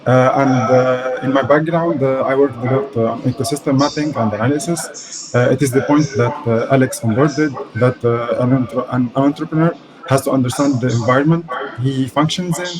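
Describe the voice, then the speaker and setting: Halting man from Tunisia with a crowd behind. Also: mid-range voice, poor audio. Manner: halting, frequent "uh". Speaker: innovation consultant. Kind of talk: conference panel talk.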